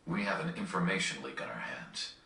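A low-voiced young rebel leader from a video game, grave and guarded. His delivery is measured with a very sharp, forceful edge. It sounds distant and roomy. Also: animated, vocal fry, full character voice. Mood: grave, suspicious. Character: serious, guarded young male resistance leader. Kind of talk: dramatic video game acting. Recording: roomy, distant capture off a screen.

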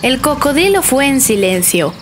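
A woman storyteller reading a children's cartoon tale. Her voice sits slightly high and stays gentle and clear. Cheerful music plays beneath her. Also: big pitch swings, normal speed. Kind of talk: scripted bedtime-story narration for children. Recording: narration over music.

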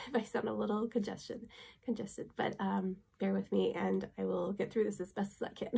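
A woman's voice: mid-range voice, creaky, warm tone, breathy edge. A woman in her thirties, congested from a cold, chatting casually to camera as she demonstrates a lipstick, with many ums. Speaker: beauty vlogger. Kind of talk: casual product demo to camera. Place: small room at home, indoors.

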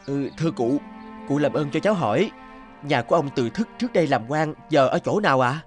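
A man playing a homesick young scholar, courtly archaic speech, cartoon dub, fairly high voice, faint music. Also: animated, brisk, close to an everyday voice. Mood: bewildered. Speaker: voice actor. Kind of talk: cartoon dubbing.

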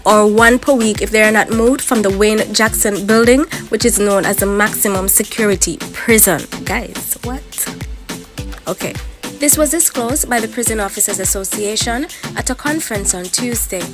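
A young woman, hosting a chatty entertainment news vlog and talking straight to her viewers. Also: fairly high pitch. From Caribbean. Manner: chatty, animated, addresses viewers as guys. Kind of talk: casual news roundup vlog. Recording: music playing behind the voice. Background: music bed under the voice.

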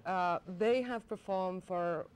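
Older lady on a tv interview, chatty and excited, lots of uhs and restarts, studio lapel mic. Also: lowish voice, slow, clear, resonant tone. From Poland. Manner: enthusiastic, animated, many fillers and restarts. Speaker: director of a college performing arts center.

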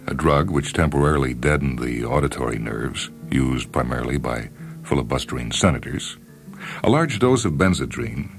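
A man reading a short story as dramatic scripted narration, with deliberate, emphatic phrasing and pauses for effect. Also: very deep voice. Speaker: story reader on a radio programme.

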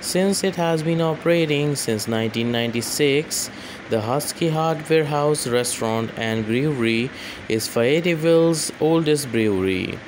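A man reading a scripted voice-over list in a flat, even manner. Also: a little high, a little slow, background noise. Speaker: voice-over narrator. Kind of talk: scripted narration.

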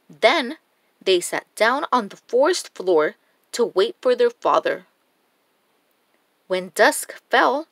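A woman narrates a children's storybook at an unhurried pace. Her intonation rises and falls in an animated way, with each phrase set out deliberately. The sound is dry and close, with little room to it. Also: mid-range voice, no echo. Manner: slow, deliberate, expressive read-aloud delivery. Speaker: storyteller reading children's books.

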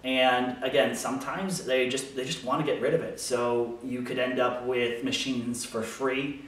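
A man's voice: mid-range voice, steady tone, a little slow, fairly bright tone. An American man in his thirties talking casually to camera, explaining things as a woodworker answering questions in his small workshop. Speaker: woodworker hosting a shop Q&A video. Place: small home workshop, indoors. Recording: very echoey room, distant microphone.

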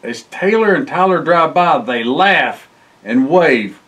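An older man reading a children's picture book aloud in an expressive way, with exclamations and short asides. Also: a little high, takes it slowly. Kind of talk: storybook read-aloud for children. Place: indoor library room, seated by bookshelves.

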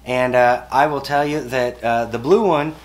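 A middle-aged man giving a casual, joking mock review from his bed, with playful formality and hesitant pauses. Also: medium pitch, steady tone. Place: bedroom, sitting up in bed.

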